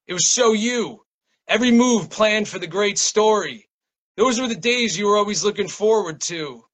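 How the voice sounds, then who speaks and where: A man's voice: high voice, a bit bright, rough recording. An American man with a laid-back, slangy way of talking, a guest poet chatting casually and reading his work. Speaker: guest poet and small press publisher. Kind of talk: casual interview chat and poetry reading.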